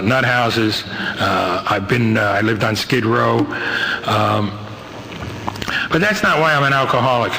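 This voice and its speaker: An older man with a New York accent giving a rambling, halting, wryly humorous personal testimony to a meeting, on a noisy old amateur tape. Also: mid-range voice, sharp tone. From Brooklyn, New York, United States. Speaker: guest speaker at a recovery meeting. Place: meeting hall, speaking to a group.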